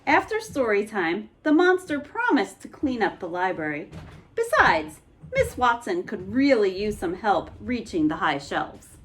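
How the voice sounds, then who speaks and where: A woman reading a children's picture book aloud as an expressive bedtime story. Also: medium pitch, measured pace, faint music behind.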